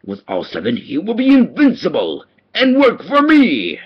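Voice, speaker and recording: A man's voice: sits high, huge pitch swings, moderate pace, slightly rough, pitched above the performer's own voice, full character voice. Man putting on grandiose, swooping mad-scientist cartoon villain. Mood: gloating, grandiose. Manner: grandiose, theatrical declaiming. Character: pompous middle-aged male mad scientist villain, gleefully megalomaniac. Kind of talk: amateur cartoon villain voice demo. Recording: muffled lo-fi home recording.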